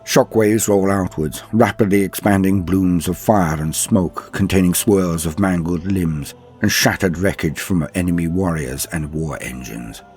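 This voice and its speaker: A man delivering dramatic scripted narration as a host, solemn, theatrical and grandiose, with a husky voice. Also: deep voice, unhurried, hardly any pauses, a little mellow, soft background music. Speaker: host and narrator of a lore show.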